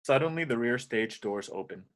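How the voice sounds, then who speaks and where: A man's voice: mid-range voice, expressive, moderate pace. A man reading the action descriptions of a screenplay as scripted narration in an amateur table read. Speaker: reader of stage directions.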